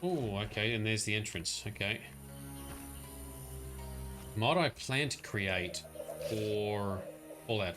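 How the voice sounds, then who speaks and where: A man giving chatty, rambling live commentary while he plays a game and talks to his chat. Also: lowish voice. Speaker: streamer. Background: faint game music.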